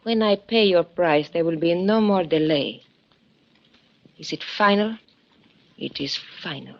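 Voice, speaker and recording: An actress playing a proud, mysterious femme fatale with a Spanish accent and formal, deliberate delivery in a 1950s radio drama. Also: female voice, on the low side, background noise. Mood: scornful, resolute. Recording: muffled vintage radio recording.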